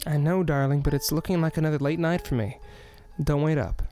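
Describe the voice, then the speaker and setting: Man playing a smarmy city mayor, slightly high pitch, smug, flirtatious, smooth-talking, audio drama, music behind. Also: fairly even intonation, fairly quick, lightly acted. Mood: placating, irritated.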